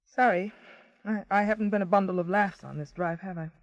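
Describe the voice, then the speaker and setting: An American actress playing a neglected, frustrated wife in a radio drama, earnest and wounded. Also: female voice, mid-range voice, fairly quick, no room sound, dull audio, close to an everyday voice. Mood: subdued. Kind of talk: 1980s radio drama acting.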